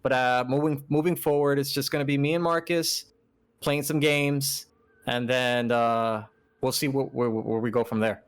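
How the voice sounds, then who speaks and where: A man's voice: medium pitch, steady tone, moderate pace, clean tone. A man co-hosting a gaming podcast, chatting casually and often repeating his phrases. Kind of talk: casual chat during a game let's play. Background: music playing behind the voice.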